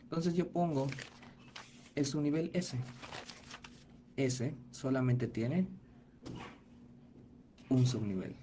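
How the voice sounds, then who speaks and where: Mellow-voiced man teaching step by step. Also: medium pitch, slow pace, pauses often, a little hiss or hum. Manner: methodical, step-by-step, checks in with "ok?". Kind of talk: online class explanation.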